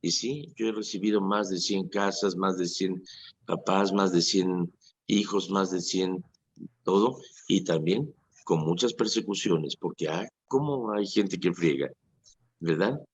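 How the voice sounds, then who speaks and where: Mexican priest, 60s, deep and husky, earnest radio preacher; dull lo-fi broadcast sound. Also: male voice, measured pace, a little hiss or hum. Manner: earnest preaching with vivid quoted asides.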